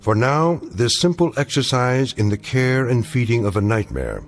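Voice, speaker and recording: An actor playing a grave, philosophical narrator of uncanny tales, giving scripted dramatic narration in a measured, portentous manner. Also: male voice, mid-range voice, big pitch swings, faint music behind. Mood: wry, ominous.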